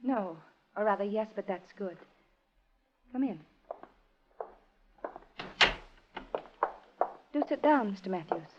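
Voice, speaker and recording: A woman's voice: medium pitch, animated, normal speed, a little hiss or hum, close to an everyday voice. An actress in a 1950s radio drama playing a refined, guarded married lady, plaintive and formal, with an old-fashioned dignified inflection. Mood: nervous courtesy. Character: refined, guarded married lady, sensitive former Communist, proud. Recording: muffled lo-fi old radio recording.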